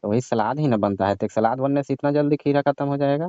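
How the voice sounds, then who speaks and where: Casting: man as nosy, mock-innocent father-in-law, mellow mid-range voice, phone comedy. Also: medium pitch, fast talker, no echo, lightly acted. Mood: curious, prodding. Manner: prodding, colloquial, mock-innocent. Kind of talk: staged comic phone-call skit. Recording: muffled, lo-fi, dry call recording.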